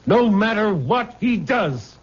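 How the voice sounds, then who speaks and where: An insistent young fiancé, husky-voiced. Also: male voice, high-pitched, big pitch swings, takes it slowly, exaggerated voice. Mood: angry demanding. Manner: urgent, insistent. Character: protective, insistent young fiancé fighting for his sweetheart. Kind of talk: old-time radio drama acting. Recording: muffled old radio recording.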